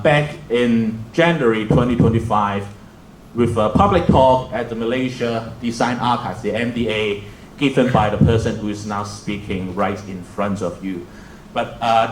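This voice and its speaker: A man's voice: medium pitch, echoey room, slight background noise, low-quality recording. A young man with a Hong Kong accent, moderating a museum roundtable with joking, self-deprecating remarks. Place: museum event hall.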